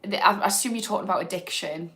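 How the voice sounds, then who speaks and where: A woman's voice: mid-range voice, fast talker, fairly bright tone. A woman, hosting a casual livestream and talking frankly about addiction recovery as she answers viewers' comments. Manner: chatty and frank, thinking aloud with fillers. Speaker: host of an addiction recovery channel.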